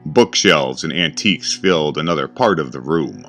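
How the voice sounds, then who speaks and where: Extremely deep-voiced horror narrator man. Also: expressive, fairly bright tone, faint music behind. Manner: slow, grave and ominous.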